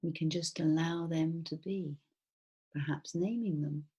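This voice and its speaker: A woman guiding a body scan meditation as a mindfulness teacher, speaking softly and soothingly in a breathy voice with long pauses. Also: low voice, steady tone, highs cut off.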